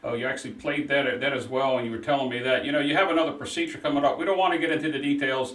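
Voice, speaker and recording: A man's voice: mid-range voice, fairly even intonation, very fast, fairly bright tone, echoey room, far from the mic. A man co-hosting a live call-in show, chatting and reading out viewer messages. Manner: chatty, runs the chat on air. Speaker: co-host.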